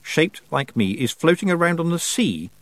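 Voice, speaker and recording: A man voicing a sharp, insistent young witch girl. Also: a little high, big pitch swings, moderate pace, no room sound, pitched above the performer's own voice. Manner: insistent, commanding.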